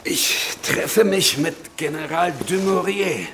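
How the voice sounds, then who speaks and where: A man playing a worldly, blunt revolutionary statesman in a radio drama, condescending and swinging from quiet to forceful. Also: sits high, slow pace, a crowd in the background. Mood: condescending, patient.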